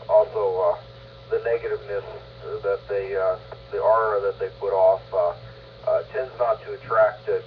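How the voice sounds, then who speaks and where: Through a noisy, muffled two-way radio comes a man in his fifties with a fairly low voice. He speaks haltingly in casual, advice-giving chat, often pausing as he searches for words. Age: 50s. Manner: halting, with long hesitant pauses. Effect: two-way ham radio. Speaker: amateur radio operator. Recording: scratchy, noisy band-limited mobile radio.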